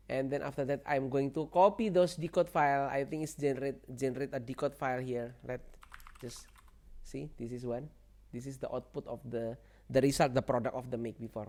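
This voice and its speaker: A man who speaks English as a second language presents an informal software walkthrough, repeating himself and often checking in with the listener. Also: medium pitch, fairly quick. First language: not English. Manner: repetitive, seeks agreement with "okay" and "right". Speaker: tech tutorial presenter.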